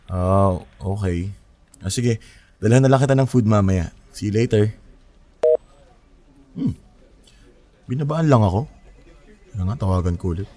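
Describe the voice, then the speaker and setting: Man as low-voiced, earnest Filipino boyfriend. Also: animated, a little slow, long pauses, dark tone. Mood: concerned. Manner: earnest, casual, mixes English and Tagalog. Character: young man, earnest, attentive, smitten boyfriend. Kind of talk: Filipino romance audio drama acting.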